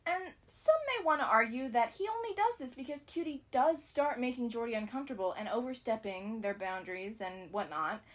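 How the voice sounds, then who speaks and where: A woman giving scripted analysis commentary as a video essayist, with casual asides. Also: on the high side.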